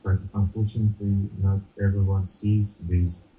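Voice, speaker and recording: A young man speaks English in a very low, flat and unhurried voice, pausing often as he searches for his words. The tone is dark and mellow. The sound is dull and distant, with faint noise behind it. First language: Russian. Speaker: interview guest. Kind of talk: casual video-call conversation.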